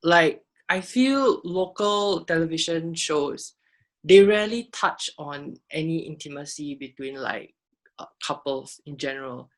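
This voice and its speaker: A woman in her twenties with a Singaporean accent, speaking softly and trailing off as a panel guest. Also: low voice, expressive, a little slow. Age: 20s. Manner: soft, trailing off, many fillers. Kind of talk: casual panel discussion.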